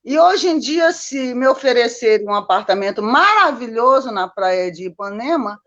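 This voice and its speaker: Chatty high-pitched Brazilian woman. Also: animated, moderate pace, fairly bright tone, lo-fi sound. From born in Manaus, lived mostly in Minas Gerais, Brazil. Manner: chatty, constantly seeking agreement with tag questions. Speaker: guest, Copacabana resident. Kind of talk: casual personal storytelling.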